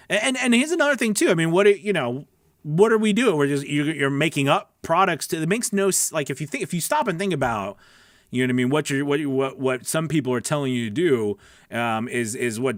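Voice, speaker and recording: A man's voice: fairly high pitch, a bit bright, no echo, studio quality. A man hosting a voice-over training livestream, chatting casually with viewers and answering their comments in an encouraging, emphatic, conversational way.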